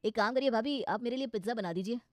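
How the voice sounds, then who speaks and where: A woman's voice: on the high side, fast talker, a little mellow, no room sound, clean studio sound, natural voice. A woman voicing a cool, pretend-modern young brother-in-law in a comic cartoon story, with a casual manner. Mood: nonchalant. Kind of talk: cartoon comedy story dialogue.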